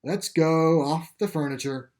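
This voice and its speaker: A man's voice: on the high side, measured pace, darkish tone, close to an everyday voice. A male narrator takes the part of a world-weary teenage boy in a storybook. He is guarded and terse, answering in a matter-of-fact way that keeps strangers at a distance. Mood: wary.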